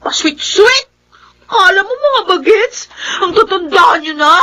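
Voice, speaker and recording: An actress playing a resentful young daughter in a Filipino radio drama, with a shrill voice. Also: female voice, expressive, a little slow, bright, forceful tone, full character voice, low-quality recording. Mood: furious, tearful. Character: resentful young daughter, angry at her widowed mother.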